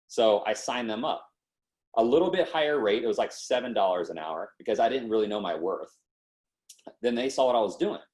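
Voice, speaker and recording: A man's voice: on the low side, fairly quick. A man in his twenties, a podcast guest, telling his story in a casual, slangy way and acting out what people said. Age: 20s. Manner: lively, slangy, acts out quotes.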